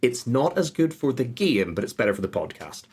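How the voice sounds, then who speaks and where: A man's voice: mid-range voice, sing-song, a little fast, darkish tone, husky. A man giving casual, informal answers as a podcast guest, with a self-deprecating way of talking. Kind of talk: casual conversational interview answers.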